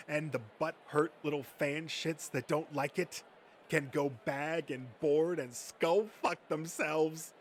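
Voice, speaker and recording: A man's voice: medium pitch, a little hiss or hum, lightly acted. A man voicing a boastful, thin-skinned male comic-book nerd who rants and swears self-importantly in an amateur comic dub.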